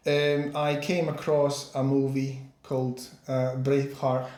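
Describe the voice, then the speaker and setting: A Polish-born man in his forties, a podcast guest speaking English as a second language, casually telling his story with many pauses and repeated words. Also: mid-range voice, level tone, darkish tone, roomy sound. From Poland. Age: 40s. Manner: hesitant, repeats words while searching for English. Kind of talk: casual interview chat.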